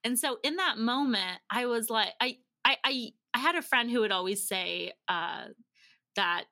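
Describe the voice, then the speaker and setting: Female podcast guest, high and forceful, casual storyteller who voices her quotes. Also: a little slow, no room sound, studio quality. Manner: animated retelling with acted-out quotes and frequent "like".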